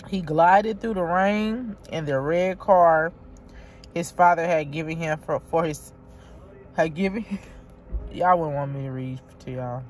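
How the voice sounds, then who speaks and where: A woman vlogging from inside her car, reading aloud haltingly and chatting straight to her viewers. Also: low voice, darkish tone, a little hiss or hum. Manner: halting read-aloud, stumbles and restarts; chatty, talks directly to viewers. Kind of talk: casual vlog, reading aloud.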